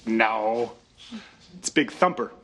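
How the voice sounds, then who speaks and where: An actor in a sitcom, speaking in a sheepish, hesitant way. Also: male voice, high-pitched, sing-song, takes it slowly, vocal fry, heavily acted. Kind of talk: sitcom acting. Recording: noisy sitcom audio with laughter.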